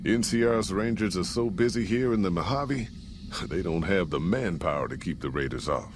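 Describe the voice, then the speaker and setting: An American actor playing a gruff, folksy caravan boss in a post-apocalyptic video game, with plain-spoken frontier drawl. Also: male voice, deep voice, animated, moderate pace, background noise. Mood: matter-of-fact. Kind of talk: scripted video game character dialogue.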